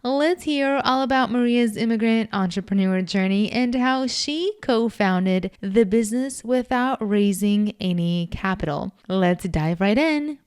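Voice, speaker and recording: A woman hosts a podcast with an upbeat, eager delivery and often says "awesome." Her voice sits at a medium pitch, and she guides the conversation steadily. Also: a little slow, few pauses, a little mellow, smooth. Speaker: podcast host. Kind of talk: scripted intro then casual interview.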